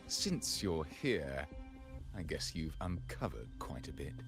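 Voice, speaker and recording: An actor playing a fanatical, smug villainous cultist in a video game, declaiming in a grandiose, menacing manner. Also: male voice, very deep voice, big pitch swings, slow pace, over music, heavily acted. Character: fanatical villainous cultist, smug, theatrical, devout to dark god.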